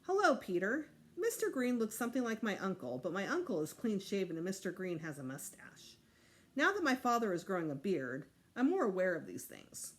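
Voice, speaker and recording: Female teacher, expressive children's read-aloud, very varied intonation. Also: medium pitch, brisk. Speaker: teacher reading aloud to students.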